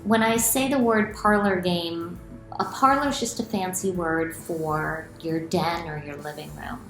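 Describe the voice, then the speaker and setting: A woman's voice: on the low side, unhurried, roomy sound, soft background music, low-quality recording. A middle-aged woman, a children's author, reading aloud and explaining things expressively to children in a room at home. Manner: expressive, clearly addressed to children. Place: small room at home, indoors.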